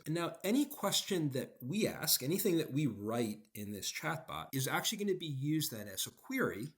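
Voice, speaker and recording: A man narrating a software tutorial in an explanatory way, stressing key words. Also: mid-range voice. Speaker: software demo presenter. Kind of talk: screen-recorded tutorial narration.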